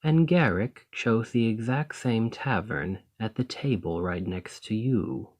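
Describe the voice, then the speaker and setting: Man playing a slow, deep-voiced, composed noble. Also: darkish tone. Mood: measured, matter-of-fact. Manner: formal, measured, deliberate, condescendingly sympathetic. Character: ambitious foreign noble ruler, composed, courteous, coolly persuasive. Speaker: roleplay audio performer. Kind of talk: scripted fantasy ASMR roleplay monologue.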